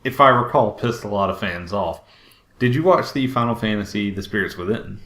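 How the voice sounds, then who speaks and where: A man's voice: lowish voice, a little fast, a bit bright. A man hosting a podcast, chatting casually about films. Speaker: podcast host. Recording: roomy, echoing sound.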